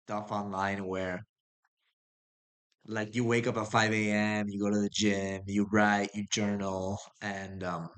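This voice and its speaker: A man's voice: on the low side, fairly bright tone. A young man from the United States telling his life story in a casual, rambling way, with many fillers and restarts. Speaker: vlogger. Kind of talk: casual personal monologue.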